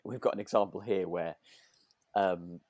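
A man's voice: low-pitched, fairly even intonation, normal speed, darkish tone, no echo. A man co-hosting a podcast, chatting casually in a hesitant, rambling, agreeable way. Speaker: podcast co-host. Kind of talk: casual chat about a radio drama.

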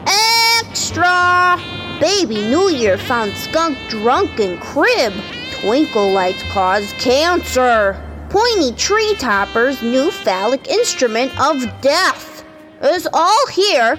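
An excitable old-time paper seller, high-pitched and very animated. The cries are slow, drawn out and punchy, with music loud underneath. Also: female voice, heavily acted, rough recording. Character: excitable street newspaper hawker crying sensational headlines. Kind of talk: old-time newsboy cry in radio drama.

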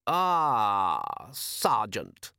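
Man as a measured, quietly menacing storybook ruler. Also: lowish voice, wildly swooping pitch, vocal fry, clean tone, no echo, clean studio sound, exaggerated voice. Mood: smooth politeness. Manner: slow, deliberate, formally polite.

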